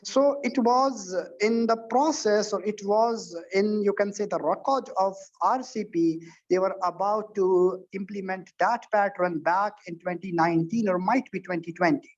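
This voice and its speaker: A man's voice: sits high, measured pace, hardly any pauses. A man tutoring exam candidates in an online session, lecturing earnestly with frequent repetitions and restarts. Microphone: video call. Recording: dull lo-fi online call audio.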